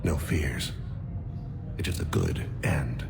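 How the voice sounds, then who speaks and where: An actor playing a serene, courteous alien man near death, gravelly and formally measured, in a video game. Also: rumbling low, noisy background, exaggerated voice. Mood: serene, resigned. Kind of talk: video game dramatic acting.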